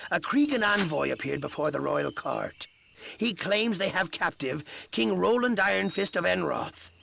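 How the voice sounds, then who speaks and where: High, gravelly, formal royal ambassador. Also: male voice, bright, forceful tone, a little hiss or hum, heavily acted. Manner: formal, urgent. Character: male ambassador delivering a grave royal message. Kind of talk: scripted video game cutscene dialogue.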